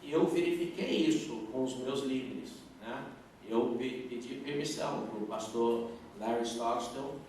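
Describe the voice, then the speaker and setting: A middle-aged male pastor answering questions at a youth service, earnest and halting, with many fillers and restarts. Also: medium pitch, a little mellow. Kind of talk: live Q&A at a youth church service. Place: large church hall. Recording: echoey hall, distant mic, faint noise.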